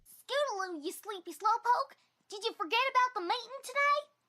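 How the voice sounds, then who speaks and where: Woman voicing a piercingly high, bright little filly. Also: animated, normal speed, heavily acted. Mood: cheerful, teasing. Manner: informal, childlike. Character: young yellow farm filly, cheeky, earnest, excitable.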